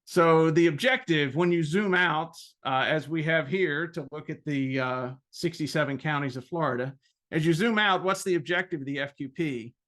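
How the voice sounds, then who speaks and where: A man giving an informal explanatory presentation as a club president, hesitating often with halting phrasing and frequent ums. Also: fairly high pitch, a bit bright.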